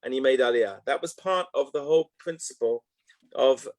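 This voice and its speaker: A man in his fifties talks as an interview guest, mid-pitched and unhurried in his thinking. He mulls things over aloud, circling back and dropping in little "okay" asides as he goes. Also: a little mellow. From North London, England. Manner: reflective, loose phrasing with frequent "okay" asides. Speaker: guest, educational tour organizer. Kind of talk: casual interview answers.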